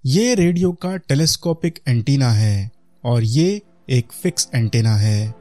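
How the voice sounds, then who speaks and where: A man's voice: medium pitch, lively intonation, measured pace, mellow, faint music behind. A man with an Indian accent giving a product demonstration voice-over as a reviewer.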